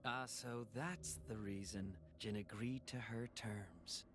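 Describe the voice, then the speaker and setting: A man's voice: lowish voice, slow, music in the background. A man playing an urbane, inquisitive villain in a video game, speaking formally and with theatrical interest. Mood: intrigued. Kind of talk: dramatic video game acting.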